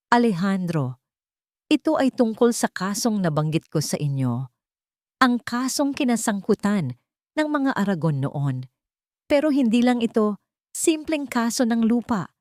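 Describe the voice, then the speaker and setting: Woman plays elderly male lawyer, grave, resolute and deliberate, in a dry-recorded audio romance. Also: medium pitch, big pitch swings, a little mellow, no echo, pitched above the performer's own voice. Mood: solemn. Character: serious old male lawyer, fatherly and resolute.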